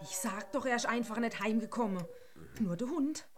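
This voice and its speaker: An actress, speaking insistently. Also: female voice, fairly high pitch, moderate pace, loud music behind the voice, lightly acted. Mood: worried. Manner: worried, insistent. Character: worried village wife of a missing man. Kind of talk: radio play acting. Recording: background noise.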